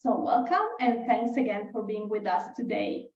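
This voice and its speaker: A woman's voice: medium pitch, moderate pace, darkish tone. A woman hosting a webinar over a video call, giving a scripted welcome. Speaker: webinar host. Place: video call in an echoey room. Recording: muffled, echoey, distant webinar mic.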